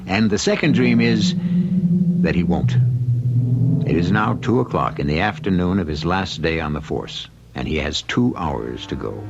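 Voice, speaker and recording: A man performing as a grave, wry storyteller of a radio mystery, narrating with measured, dramatic pauses. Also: low voice. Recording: muffled vintage radio recording, music behind.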